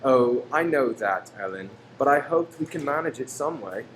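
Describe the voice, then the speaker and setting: A gentle Victorian husband acted by a man in a radio play, with a mid-range voice. He is placating, hopeful and earnest. The sound is roomy, with faint background noise. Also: medium pitch, animated, normal speed, close to an everyday voice. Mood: concerned, wistful. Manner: soothing, earnest. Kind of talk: old-time radio play acting. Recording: roomy stage recording, faint hum.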